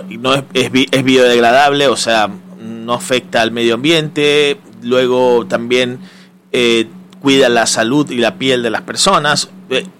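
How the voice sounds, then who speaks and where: A man's voice: medium pitch, fairly bright tone. Male interview host over noticeable background noise. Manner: conversational, frequently seeks agreement with tag questions. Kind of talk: casual promotional interview.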